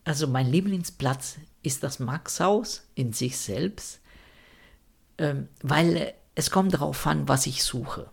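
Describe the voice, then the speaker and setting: A man's voice: on the high side, takes it slowly, vocal fry, husky. A man from Rome speaking German with an Italian accent, answering interview questions thoughtfully and searching for words. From Italy (Rome). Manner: thoughtful, searching for words, non-native grammar.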